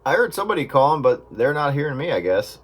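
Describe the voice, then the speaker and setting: A man's voice: on the high side, big pitch swings, fast. A man chatting casually over two-way radio in plain, folksy radio slang. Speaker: amateur radio operator. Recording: very noisy radio with static and clicks.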